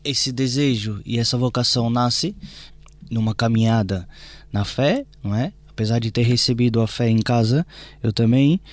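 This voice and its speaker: A young man from Brazil, a newly ordained priest with a Brazilian Portuguese accent, giving an earnest, halting interview testimony with frequent tag questions. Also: medium pitch, dry recording.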